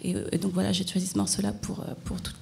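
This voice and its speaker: A woman's voice: fairly low pitch, little pitch movement, quick pace, creaky, darkish tone, a little hiss or hum. A woman from France, an artist answering interview questions in a reflective, conversational way.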